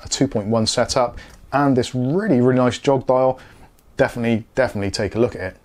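A man's voice: mid-range voice, a little mellow. A British man in his forties giving a casual, informal product review to camera on a lapel mic, thinking aloud as he goes. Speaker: tech reviewer, video host. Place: small home studio room, indoors. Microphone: lavalier. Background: music from speakers being tested.

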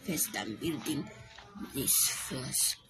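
A woman's voice: rumbling low, sing-song, slow, music in the background. A woman casually commenting on her own building game, often dropping into whispers, recorded on a phone. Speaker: gameplay channel host. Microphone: phone mic.

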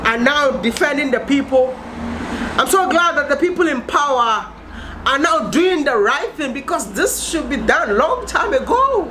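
A man's voice: extremely high pitch, big pitch swings, unhurried, noisy background. A young man vlogging his opinions to camera in an emphatic, exclamatory way, often asking and answering his own questions. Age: young adult. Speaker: video blogger. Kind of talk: animated opinion vlog to camera. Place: outdoors on a high balcony over a city.

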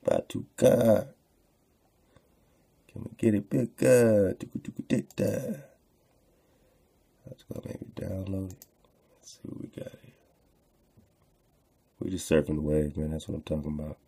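A man's voice: deep voice, unhurried, gravelly, long pauses, mellow, husky. A man casually hosting an unscripted online show, rambling, repeating phrases and using slangy filler. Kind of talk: casual unscripted commentary while browsing on screen.

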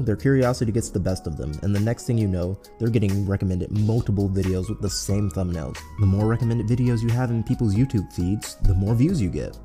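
Very dark-voiced man narrating a video essay. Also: on the low side.

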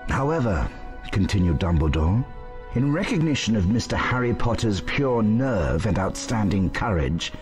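A man reading scripted storybook narration in a measured, expressive storytelling manner. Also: lowish voice, music in the background.

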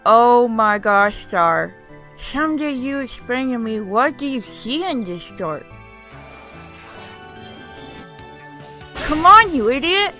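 Woman playing a brash cartoon unicorn girl, blunt, scornful, high sharp voice, deliberate drawl. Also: sing-song, soft background music, exaggerated voice. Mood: exasperated. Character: sassy, brash young female flying unicorn head. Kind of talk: cartoon comic dub acting.

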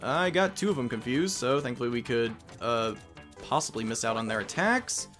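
A man's voice: mid-range voice, expressive, moderate pace, fairly bright tone. A man casually commenting on a video game as he plays, rambling with jokey asides. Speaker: let's play host. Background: game music.

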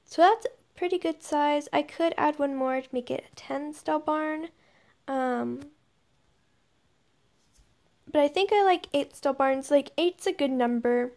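A woman's voice: high voice, measured pace, pauses often, a little mellow. A young woman giving casual commentary as she builds in a game, thinking aloud and often murmuring under her breath. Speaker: gaming video creator. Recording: noisy home recording with clicks.

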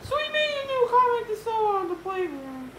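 An indignant, excitable schoolboy from a children's storybook, acted out by a woman. The voice is extremely high and leaps about with great animation. The recording is echoey and noisy. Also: fairly quick. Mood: excited, indignant. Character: excitable, indignant schoolboy George, comic maker.

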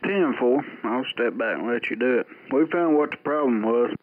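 A man's voice: mid-range voice, moderate pace. A man chatting casually over CB radio on a handheld microphone. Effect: CB radio transmission. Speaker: CB radio operator. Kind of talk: casual two-way radio chat. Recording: tinny, noisy CB radio transmission.

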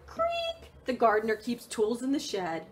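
Woman, high voice, lively swooping intonation, sing-song, children's storytime read-aloud, questioning delivery. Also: measured pace, a little hiss or hum. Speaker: children's librarian reading a picture book aloud.

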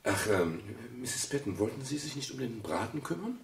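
An actor playing a sentimental, bossy yet gallant private detective in a radio crime drama. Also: male voice, mid-range voice, very animated, moderate pace, gravelly, slight background noise. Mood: polite, attentive.

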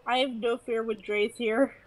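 Congested woman, higher voice, nervous chatty co-op player, slight noise. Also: steady tone, normal speed, rough recording. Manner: chatty, nervous, asks lots of questions. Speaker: co-op gaming partner. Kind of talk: casual gameplay chat.

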